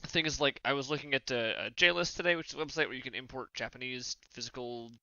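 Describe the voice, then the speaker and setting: Very bright, crisp-voiced man, casual podcast talk. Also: medium pitch, fairly even intonation, quick pace, no room sound, dull audio. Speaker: podcast panelist. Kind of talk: casual panel discussion.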